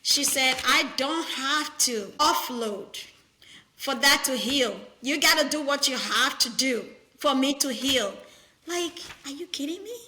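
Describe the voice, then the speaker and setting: Middle-aged nurse from Nigeria, high bright voice, firm and lively storyteller. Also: female voice, unhurried. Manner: animated, firm, storytelling with quoted patients. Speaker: nurse practitioner, guest. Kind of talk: conversational interview.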